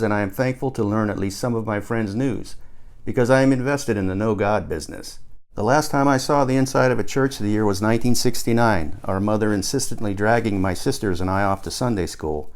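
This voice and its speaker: Low-voiced older man narrating an audiobook. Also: a little mellow. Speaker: author reading own book. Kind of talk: first-person audiobook narration.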